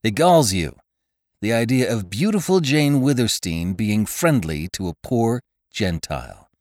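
A man's voice: lowish voice, very animated, normal speed, no echo, pitched above the performer's own voice. A man voicing a proud, passionate young male cowboy rider who answers with taunting defiance. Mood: defiant.

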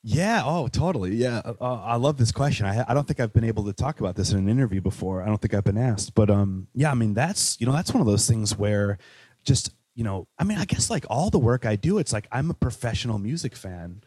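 A man's voice: on the low side, expressive, brisk, darkish tone, slightly rough, no room sound. A man from Chicago with a Chicago accent, a musician giving an interview, talking in a loose, rambling way full of fillers and restarts. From Chicago, with Welsh roots. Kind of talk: casual interview chat.

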